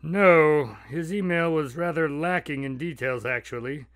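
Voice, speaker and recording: A man's voice: fairly high pitch, a little slow, fairly bright tone, no room sound, clean studio sound. A man playing an exasperated, polite doctor. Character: polite, slightly exasperated male doctor narrator. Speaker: narrator.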